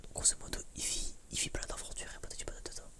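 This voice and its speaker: A young man giving a casual ASMR chat in a whisper, rambling and hesitant, in informal, slangy words. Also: fast. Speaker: ASMR creator.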